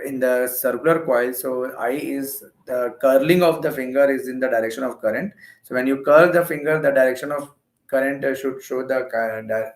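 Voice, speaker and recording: A man with an Indian accent giving an explanatory exam-prep lecture as a physics teacher, going step by step and repeating phrases. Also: mid-range voice.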